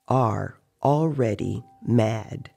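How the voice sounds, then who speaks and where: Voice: mid-range voice, very slow, vocal fry, warm tone, faint music behind. A narrator reading a dramatized story in the style of an audiobook.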